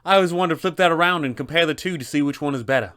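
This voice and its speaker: A cocky wizard of illusions, played by a man, boastful and scornful in a theatrical way. His clear voice rides a little above the performer's usual pitch, made for a tabletop fantasy game. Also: very fast, a bit bright, lightly acted.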